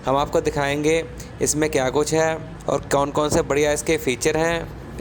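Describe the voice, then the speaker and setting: Male tech presenter, slightly high pitch, casual step-by-step explainer delivery, faint street noise in the background. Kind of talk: casual product unboxing narration.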